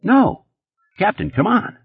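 Guy doing a nervy sleazy playboy, way higher than his own voice, husky, bouncy pitch. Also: sing-song, no echo, phone-quality sound, exaggerated voice. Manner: rapid, nervous, boastful, pitched high and pushy. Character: jittery fast-talking male nightclub playboy, sleazy.

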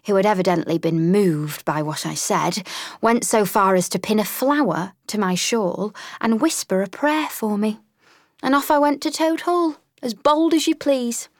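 A woman voicing an excitable, cheeky female mole in a storybook reading, telling tales with glee. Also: mid-range voice, dry recording, clean studio sound, pitched above the performer's own voice. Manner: chatty, gleeful storytelling. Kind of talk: audiobook character reading.

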